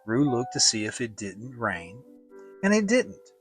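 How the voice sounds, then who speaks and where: A man's voice: medium pitch, sing-song, a little slow, soft background music. A man narrating a children's story as a dramatic reading.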